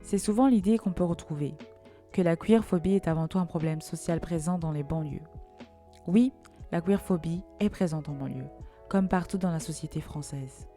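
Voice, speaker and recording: A woman hosts the show and reads a scripted introduction in a measured, even manner. Her voice is low and mellow. Soft music plays faintly underneath. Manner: measured, read-out delivery. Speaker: host. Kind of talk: scripted narration.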